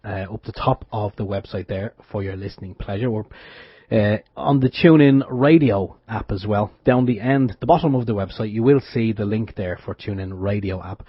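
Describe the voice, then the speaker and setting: A man's voice: fairly low pitch, moderate pace, slightly rough, no echo. A man co-hosting an internet radio show, talking casually as he gives out announcements. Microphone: close studio microphone. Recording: dull lo-fi internet radio stream.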